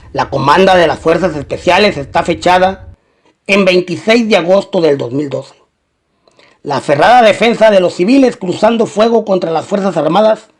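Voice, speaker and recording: A man reading a scripted documentary-style crime narration. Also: high voice.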